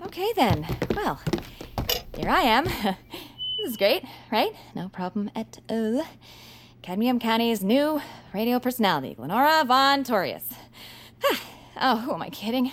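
An actress playing a nervous, apologetic, awkward female novice radio host who stammers and giggles through halting speech in a comedy audio drama. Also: a little high, sing-song. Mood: falsely bright, then panicked. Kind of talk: audio fiction comedy acting.

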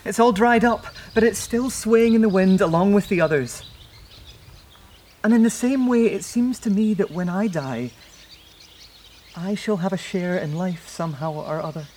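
An actor playing a wistful, reflective man in a naturalistic audio play, speaking haltingly and lyrically. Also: sits high, a little mellow, slight background noise, lightly acted. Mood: tender, resigned. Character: wistful, elated man saying goodbye to his beloved. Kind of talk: naturalistic audio drama acting.

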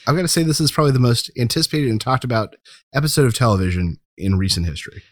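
A man's voice: medium pitch, lively intonation, very fast, no echo, studio quality. A man co-hosting a podcast, chatting casually and enthusiastically about a TV show. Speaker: podcast co-host.

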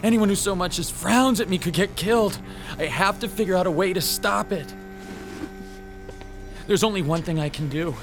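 An actor playing a timid, bullied comic shop owner, hesitant and fretful, in a radio drama. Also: male voice, high-pitched, moderate pace, long pauses, over music. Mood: despairing, frantic.

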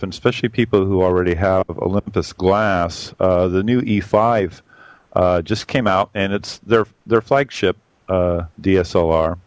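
Low-voiced man with a boomy sound. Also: no echo. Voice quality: boomy on a mic. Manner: rambling, lots of fillers and restarts. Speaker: photography podcast regular reviewing cameras. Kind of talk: casual spoken camera review.

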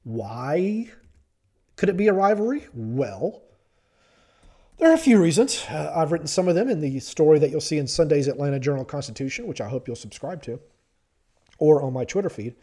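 Male podcast host, stuffy-nosed, wry and self-deprecating, mellow and slightly high. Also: big pitch swings, normal speed. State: stuffy from pollen allergy. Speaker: podcast host and sports reporter. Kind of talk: podcast commentary.

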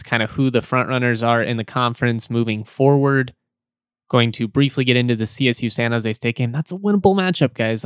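Slightly hoarse chatty man hosting a podcast. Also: mid-range voice, no room sound, clean studio sound. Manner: chatty, repeats words, laughs between points. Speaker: sports podcast host. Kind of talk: casual sports podcast talk.

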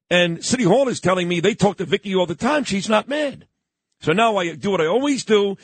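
Husky talk-radio host, rambling. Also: male voice, high voice, fairly bright tone, no room sound. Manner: rambling, boastful asides. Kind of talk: casual opinionated talk radio.

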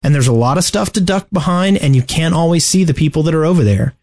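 A man co-hosting a casual video game discussion, choosing his words in a halting, deliberate way. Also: fairly high pitch, expressive, a little mellow. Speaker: podcast co-host.

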